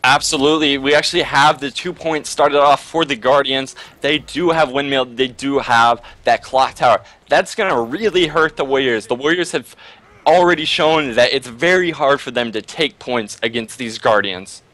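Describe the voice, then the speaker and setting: A man's voice: mid-range voice, normal speed, a bit bright. A man co-hosting a game broadcast, upbeat and prone to laughing while chatting and giving color commentary. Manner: upbeat, laughs often, keeps saying "absolutely". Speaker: esports co-caster and interviewer. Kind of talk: casual chat and color commentary.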